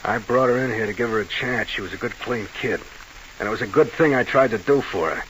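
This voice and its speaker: An actor playing a worn-down, uneasy nightclub manager with a guilty conscience, talking defensively in a 1940s radio crime drama. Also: male voice, medium pitch, fast talker, a bit bright, lightly acted, low-quality recording. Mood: guilty, bitter. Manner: defensive, slangy. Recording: hissy old radio broadcast.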